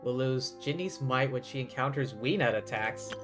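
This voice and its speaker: A man's voice: medium pitch, normal speed. A man streaming a video game, commenting casually and muttering asides to himself as he plays. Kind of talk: live game commentary mixed with reading dialogue aloud. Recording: game music behind the voice. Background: video game music.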